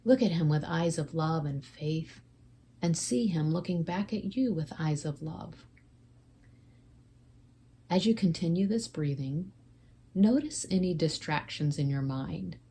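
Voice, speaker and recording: Low-voiced woman leading a guided meditation. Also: measured pace, long pauses, warm tone, slight background noise.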